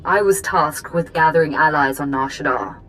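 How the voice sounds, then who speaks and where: An actress playing a poised, businesslike imperial diplomat and spymaster in a video game, speaking formally. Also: female voice, low voice, moderate pace, fairly bright tone, soft background music, poor audio. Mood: composed, resolute. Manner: formal, polished. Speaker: imperial special operations chief.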